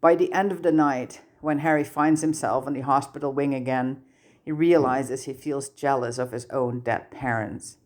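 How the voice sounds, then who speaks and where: A woman's voice: very deep voice, fairly even intonation, moderate pace, darkish tone. A woman narrating a piece of fiction from a script, as an audiobook reader does.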